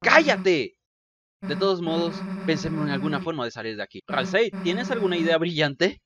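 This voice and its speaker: A woman's voice: deep voice, very animated, normal speed, muffled recording, a loud crowd. A woman voicing a tough, brash teenage monster girl, blunt and urgent, in a video game fan dub. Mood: irritated.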